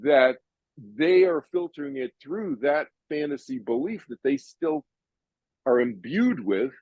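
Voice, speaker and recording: An older man from Massachusetts hosting an audience Q&A over a video call, chatty and animated, often restarting his sentences. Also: mid-range voice, a little slow, no echo, narrow, tinny audio. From Massachusetts, USA. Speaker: host answering audience questions.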